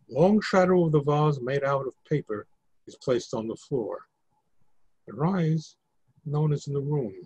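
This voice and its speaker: An older man reads stage directions in a husky voice. It sits a little high for a man, and the sound is dry. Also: warm tone, dry recording, poor audio. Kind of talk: reading stage directions aloud. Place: indoors at home on a video call.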